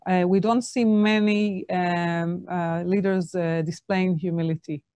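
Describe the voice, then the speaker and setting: A woman's voice: lowish voice, steady tone, measured pace, warm tone, clean tone, no room sound. Dry-sounding hesitant female interviewer. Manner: hesitant, many fillers. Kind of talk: interview hosting.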